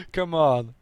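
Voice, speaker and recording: A man's voice: high voice, dry recording. A young man with a Polish accent casually commentating his own strategy game match, chatty and laughing often, with hesitant second-language phrasing.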